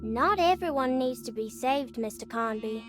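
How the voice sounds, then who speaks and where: A woman's voice: sits high, expressive, normal speed, music in the background. A female performer playing an eerie, solemn young girl in a horror game, guarded and knowing in a childlike way. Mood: uneasy, knowing. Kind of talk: cinematic game dialogue acting.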